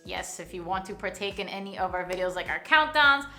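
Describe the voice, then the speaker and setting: A woman with a slightly low, very bright voice reacts casually, piling on slangy, emphatic praise. The room is noticeably echoey, with faint music behind her. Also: expressive, fairly quick. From United States, Miami. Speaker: reaction channel host.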